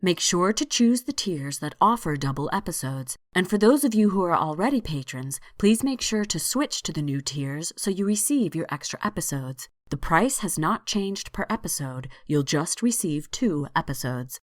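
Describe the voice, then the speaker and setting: Low-voiced woman hosting a podcast. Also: expressive, moderate pace, few pauses, dry recording, studio quality. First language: not French. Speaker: podcast host. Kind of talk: scripted solo narration.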